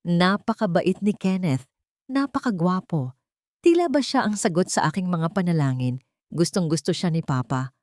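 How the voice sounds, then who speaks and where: A woman's voice: lowish voice, animated, moderate pace, a little mellow, no echo, lightly acted. A woman narrator plays a frightened young Filipino woman confiding in her diary. She sounds earnest and uneasy, in the style of an audiobook drama. The recording is close and dry. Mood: wondering, uneasy. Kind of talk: dramatic journal reading in audiobook.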